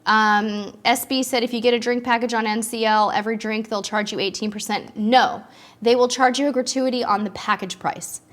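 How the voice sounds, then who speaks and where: A woman's voice: a little high, steady tone, moderate pace, a bit bright. A woman hosting a live cruise-tips stream and answering viewer questions in a chatty, upbeat way, a little stuffy from allergies. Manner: chatty and upbeat, with frequent ums.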